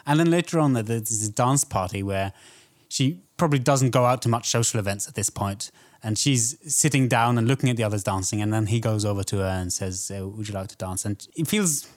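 A man's voice: on the low side, fairly quick. A man co-hosting a podcast, talking through a film in a tentative, thoughtful, conversational way. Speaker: podcast co-host. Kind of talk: casual film discussion.

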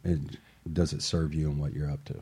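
Brief: low, mellow male radio host, upbeat motivational chat. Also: a little fast, breathy edge. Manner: upbeat motivational, relaxed with fillers. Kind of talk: casual radio talk show chat. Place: radio studio.

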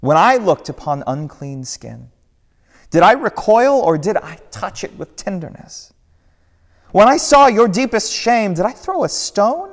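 Man doing jesus, earnest pleading voice pitched up, echoey hall. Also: animated, moderate pace. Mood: impassioned. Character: Jesus, a gentle and firm man. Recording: long echoey hall reverb.

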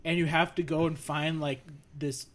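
A man's voice: a little high, flat delivery, normal speed, a bit bright. A man co-hosting a podcast in casual, informal group chat. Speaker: podcast co-host.

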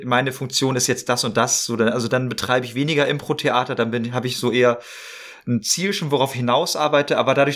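A man's voice: medium pitch, a bit bright. A man chatting casually as a podcast guest, explaining things in long, looping sentences. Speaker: podcast guest, actor and improv performer.

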